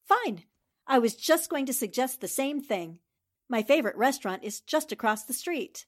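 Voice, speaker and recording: A woman acts out a confident newspaper reporter turned sleuth in an old-time radio play. Her voice sits fairly high and moves in lively swoops. She explains things crisply and with total assurance. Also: normal speed. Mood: playful teasing. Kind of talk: 1940s radio drama acting.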